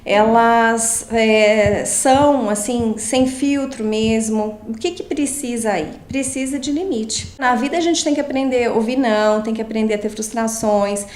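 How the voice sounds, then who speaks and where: A woman's voice: a little high, a little slow, hardly any pauses, a little mellow, roomy sound. A woman psychologist answering interview questions, explaining with many fillers and tag questions.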